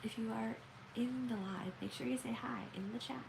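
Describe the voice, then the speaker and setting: A woman's voice: on the high side, steady tone, moderate pace, gravelly, background noise. A young woman from Canada hosting a casual late-night live stream, chatting playfully with viewers while she eats at home. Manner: chatty, playful, hums and sings snatches. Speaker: livestream host.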